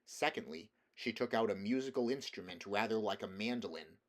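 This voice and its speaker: A man reading a storybook chapter aloud in a measured, calm narration. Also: on the low side. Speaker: audiobook reader.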